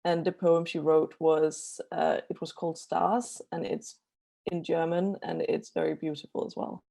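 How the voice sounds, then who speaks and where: Low, gravelly young Danish woman. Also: little pitch movement, moderate pace, warm tone. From Denmark. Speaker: student poetry judge. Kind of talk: casual interview answers.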